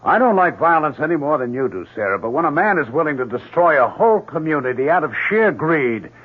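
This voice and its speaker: An actor playing a troubled, guilt-ridden artist and husband in 1970s radio drama style, intense and theatrical. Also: male voice, on the high side, very animated, a little fast, husky. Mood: bitter, indignant. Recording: dull 1970s radio recording.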